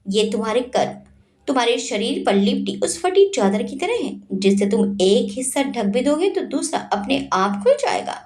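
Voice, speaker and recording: A woman's voice: on the high side, big pitch swings, normal speed, a little mellow. A woman voicing a scornful, dignified middle-aged mother in an audio story, with a cutting manner. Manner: cutting, sarcastic.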